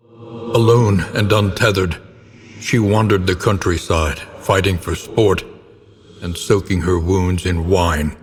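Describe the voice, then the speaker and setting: A grim, foreboding storyteller in a dark gothic game. The man's voice sits very low and moves slowly, each phrase weighed with theatrical gravity. It rings out in a long reverb. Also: breathy edge, full character voice. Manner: grave, theatrical, measured, ornate delivery. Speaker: narrator. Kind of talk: dramatic scripted gothic game narration. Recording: long reverb, noisy ambient bed.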